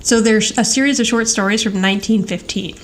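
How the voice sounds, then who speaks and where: A woman's voice: a little high, fairly quick. Chatty woman podcast co-host, noisy background. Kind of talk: casual TV rewatch recap chat. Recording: clear background noise.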